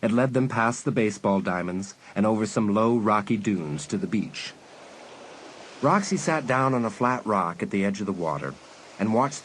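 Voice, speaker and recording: Low-voiced, expressive male audiobook narrator. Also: slight background noise, poor audio. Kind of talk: scripted dramatic audiobook narration.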